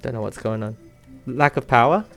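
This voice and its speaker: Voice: medium pitch, fairly quick, fairly bright tone, soft background music. A gamer giving casual commentary while playing, talking to themselves and viewers with frequent exclamations. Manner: talks to self and viewers, exclaims, giggles often. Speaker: let's play video host.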